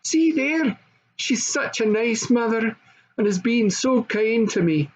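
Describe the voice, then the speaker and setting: A man's voice: very high voice, animated, slow, voice pushed up, exaggerated voice. An older man voicing an eager, affectionate little fairy-tale princess in an earnest, exclaiming, childlike way. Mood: proud, fond. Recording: dull home recording with faint noise.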